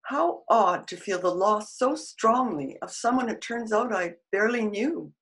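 An older actress playing a loving, torn married woman in a period radio drama, heartfelt and halting. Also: mid-range voice, lively intonation. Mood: subdued. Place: room at home, video call.

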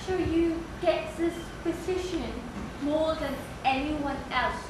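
Woman acting polite interviewer on stage, really high voice, slow, distant in a theatre. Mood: polite, businesslike. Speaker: stage actor. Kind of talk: live amateur stage play dialogue. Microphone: far camera. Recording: very echoey theatre from far off, noisy.

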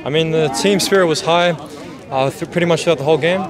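A teenage rugby player answering outdoors into a handheld mic, composed and articulate. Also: male voice, fairly high pitch, fast talker. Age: teens. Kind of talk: post-game interview. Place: outdoor sports field. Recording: crowd noise outdoors.

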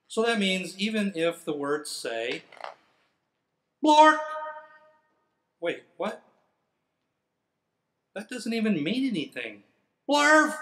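A middle-aged man reading a children's book aloud in a theatrical, playfully exaggerated manner. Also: a little high. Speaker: firefighter. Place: indoors in front of a fire truck. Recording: roomy, echoing sound.

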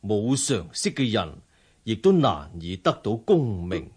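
An actor playing a serene, dignified Buddhist monk in a radio drama, measured and composed, with a Hong Kong Cantonese accent. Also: male voice, lowish voice, big pitch swings, a little mellow, slightly rough, heavily acted. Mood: calm, assured.

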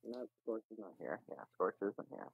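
A husky-voiced player chatting casually and insistently in a roleplay game over a voice call. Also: medium pitch, moderate pace, dry recording. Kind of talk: casual gaming chat. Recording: dull, dry voice-chat audio.

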